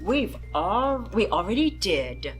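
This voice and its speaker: A woman's voice: medium pitch, very animated, takes it slowly, faint music behind. A woman reading a storybook as a knowing, kindly female schoolteacher who gives instructions and knowing replies.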